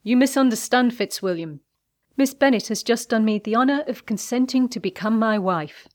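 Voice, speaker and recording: A woman narrator acts out a proud young gentleman of a period romance novel. He speaks in formal, declamatory phrases, ardent and intense, utterly sure of himself. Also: on the high side, moderate pace, lightly acted. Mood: composed.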